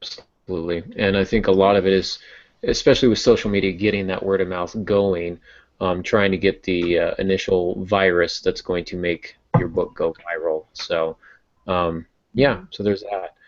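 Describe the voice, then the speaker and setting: A man's voice: low voice, little pitch movement, normal speed. A man hosting a casual podcast, chatty and explanatory, with a self-deprecating sense of humor. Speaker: podcast host and novelist. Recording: dull lo-fi audio.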